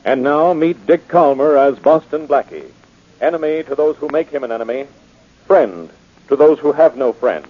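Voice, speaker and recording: A portentous male announcer of 1940s radio drama, performed by an actor. His fairly high voice delivers each phrase with weight and drama. The recording is dull with faint noise. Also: lightly acted. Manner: slow, portentous.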